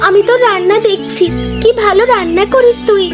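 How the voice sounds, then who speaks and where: A woman playing a gushing, flattering young village kitchen helper in a cartoon. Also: very high-pitched, brisk. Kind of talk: cartoon voice acting. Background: background music.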